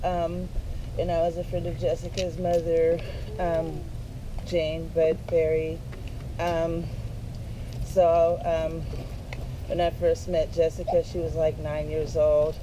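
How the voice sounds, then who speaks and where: A woman in her fifties sharing a memory at a memorial, hesitant, with many pauses and ums. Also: deep voice, completely flat delivery. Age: 50s. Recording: noisy outdoor recording.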